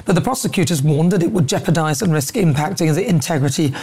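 A woman presenting and hosting live TV news in a brisk, lilting way, giving her own opinions as she interviews a guest. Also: low-pitched, expressive, warm tone, husky.

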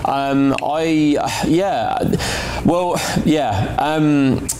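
A man's voice: fairly high pitch, extremely slow. Guy on a panel, lots of ums and restarts, faint hiss. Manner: hesitant, with many ums and restarts. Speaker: panel guest. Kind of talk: conversational panel commentary.

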